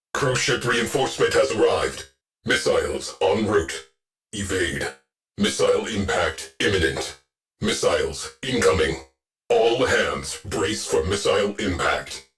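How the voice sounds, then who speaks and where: Very deep, deadpan military ship AI. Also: male voice, exaggerated voice. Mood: alert, businesslike. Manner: clipped, deadpan, tactical delivery. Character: terse, stoic male military ship AI, dryly protective. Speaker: ship tactical AI announcing combat. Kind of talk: scripted video game voice lines.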